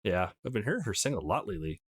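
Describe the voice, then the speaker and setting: Man playing an easygoing young man, lively intonation. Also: lowish voice, racing, slightly rough. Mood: dry, exasperated. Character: easygoing, mildly exasperated young man, visual novel protagonist.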